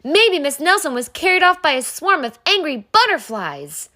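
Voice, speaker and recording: Woman as high-pitched, excitable schoolkids. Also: normal speed, heavily acted. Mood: earnest guessing. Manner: eager, exclaiming. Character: excitable group of young schoolchildren, boys and girls.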